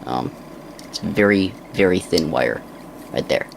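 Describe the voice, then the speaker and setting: A man giving an unscripted hands-on demonstration in a halting, casual mutter as he works, on an amateur recording. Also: sits low, expressive, vocal fry, slight background noise. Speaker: presenter of a hands-on science demonstration.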